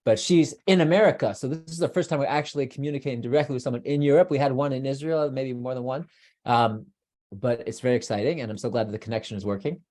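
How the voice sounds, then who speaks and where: Upbeat podcast host with a mellow voice. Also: male voice, mid-range voice, fast talker. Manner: upbeat, chatty, restarts sentences often. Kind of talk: podcast interview.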